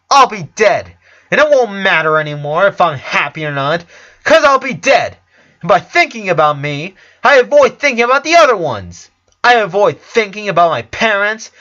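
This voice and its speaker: A man's voice: high-pitched, bright, forceful tone, dull audio, voice pushed up. A man voicing a defiant, wounded eleven-year-old boy in a story reading, speaking with insistent emotion. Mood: anguished, insistent. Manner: insistent, emotional, repetitive phrasing.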